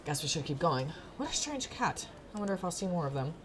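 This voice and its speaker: A woman's voice: fairly low pitch, very animated, fast talker, noisy background. A woman reading a visual novel aloud expressively, with informal, casual asides. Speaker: gaming content creator. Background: music.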